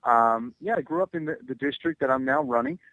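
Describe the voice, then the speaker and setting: A man's voice: mid-range voice, brisk, a bit bright, no echo, low-quality recording. A man in his twenties from New Jersey, answering radio interview questions as a political candidate, hesitant and heavy with fillers. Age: 20s.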